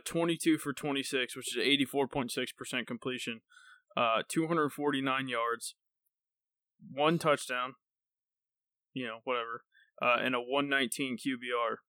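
A young man co-hosting a casual sports podcast, talking loosely and excitedly with lots of "like" and "dude" and casual swearing. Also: mid-range voice, normal speed, no room sound. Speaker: podcast co-host.

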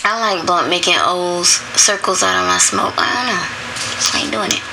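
A woman co-hosting a casual podcast, talking in a lively, giggly, slangy way. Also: on the low side, normal speed, vocal fry, heavy background noise. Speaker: podcast co-host. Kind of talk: casual chat.

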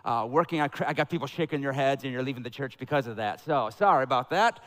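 Energetic preaching pastor, man. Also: mid-range voice, fast talker. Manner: energetic, conversational preaching that builds to loud emphatic peaks. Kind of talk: sermon with announcements and prayer. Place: church sanctuary. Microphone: headset mic.

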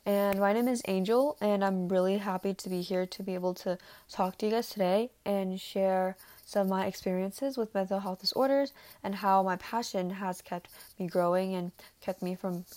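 A teenage girl who grew up in Taiwan speaks in an earnest, prepared way about her own life. Her voice sits at a middle pitch, and she pauses now and then with small fillers. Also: fairly even intonation, darkish tone. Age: teens. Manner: earnest, slightly halting with fillers. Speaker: student speaker for a youth nonprofit. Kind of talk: prepared personal talk.

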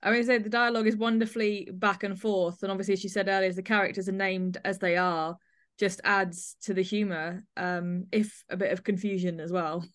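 A woman hosts an arts podcast in a conversational manner. Her voice sits at a medium pitch. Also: fairly even intonation, fairly quick, fairly bright tone. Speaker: podcast host.

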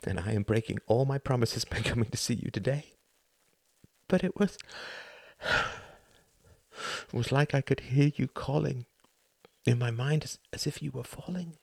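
A man voicing a grieving adult son in an audiobook, speaking in a hushed, whispery, emotional way. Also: medium pitch, measured pace, creaky, pauses often, husky, no echo, voice pushed up. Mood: tender.

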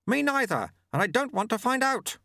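A man voicing a brisk, practical sweeper who calls out as if over rushing wind, in an audiobook. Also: very high-pitched, big pitch swings, sharp tone, no room sound, clean studio sound, voice pushed up, heavily acted. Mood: brisk, loud.

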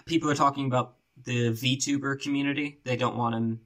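A man's voice: medium pitch, fairly even intonation, fairly quick, a bit bright. Man hosting a casual podcast. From Ireland. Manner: loose, swearing, laughs often. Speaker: podcast host.

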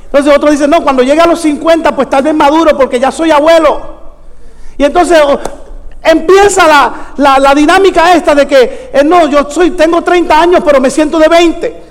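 A man's voice: very high voice, steady tone, normal speed. A man preaching a sermon to a congregation in an exhorting way, repeating phrases and prompting listeners with rhetorical questions. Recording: echoey hall with long reverb.

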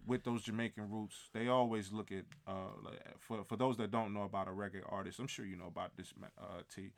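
A man co-hosting a podcast in casual chat, talking with enthusiasm and giving shout-outs. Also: on the low side, steady tone, brisk, vocal fry. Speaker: podcast co-host.

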